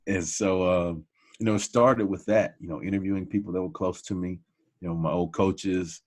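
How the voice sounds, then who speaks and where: Man, forties, very low voice, casual podcast guest, humble and friendly. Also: steady tone, moderate pace. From Texas. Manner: warm, humble, says "man" often. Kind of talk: casual conversation.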